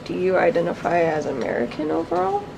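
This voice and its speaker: Gravelly-voiced interviewer asking plainly. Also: mid-range voice, fast. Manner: plain, even questioning. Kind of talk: interview questions. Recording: noisy recording.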